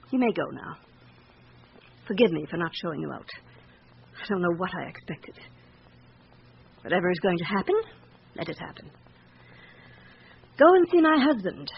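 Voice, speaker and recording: An actress playing a proud, anxious wealthy wife in a radio drama, curt and haughty in manner. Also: female voice, medium pitch, gravelly. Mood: resigned, dismissive. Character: proud, anxious, imperious wealthy married woman. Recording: muffled, hissy radio drama recording.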